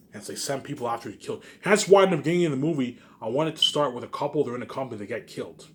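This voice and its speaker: A man's voice: medium pitch, darkish tone. A man talking casually to his viewers in a rambling monologue, boastful and self-assured, repeating himself and tacking trailing asides onto his phrases. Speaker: vlogger.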